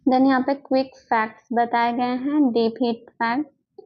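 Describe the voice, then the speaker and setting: A woman's voice: a little high, flat delivery, unhurried. A woman with an Indian accent teaching a lesson, reading out notes and explaining them step by step, often repeating herself with little check-in tags. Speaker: physiotherapy teacher. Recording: dull lo-fi microphone sound.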